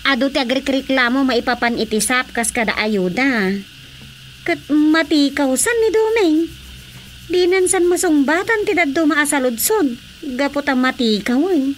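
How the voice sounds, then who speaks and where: A woman's voice: high voice, animated, a little slow, a little hiss or hum. An actress playing a chatty, sympathetic younger married woman with a Filipino accent in a radio drama comedy. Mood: concerned.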